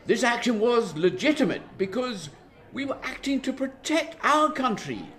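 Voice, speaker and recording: A male actor plays an in a radio drama, defending himself in formal parliamentary oratory. The man's voice is pitched high and swings widely as he makes each measured, emphatic point. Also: fairly bright tone, exaggerated voice. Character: defensive British Prime Minister making a grave statement.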